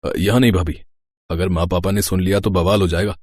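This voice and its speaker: A man's voice: low-pitched, steady tone, brisk, darkish tone. A man playing a mischievous young village brother-in-law in a storybook tale, teasing and pleading. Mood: pleading, earnest. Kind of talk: storybook acting.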